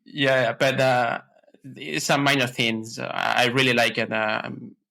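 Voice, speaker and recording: Bright-voiced man, hesitant. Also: medium pitch, level tone, unhurried, creaky. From Ecuador. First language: Spanish. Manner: hesitant, many fillers and restarts. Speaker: podcast guest. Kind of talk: casual interview answers.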